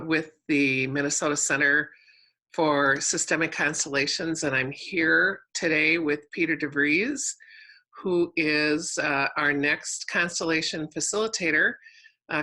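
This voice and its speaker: A woman hosting an interview, speaking conversationally in a halting, loosely phrased way. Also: low-pitched, bright, forceful tone, rough recording. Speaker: interviewer and host.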